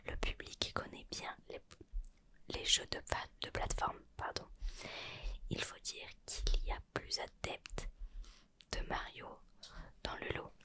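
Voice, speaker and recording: A young woman whispering through an ASMR roleplay, reading a retro gaming magazine aloud and adding chatty asides and self-corrections. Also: bright, forceful tone. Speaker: ASMR creator.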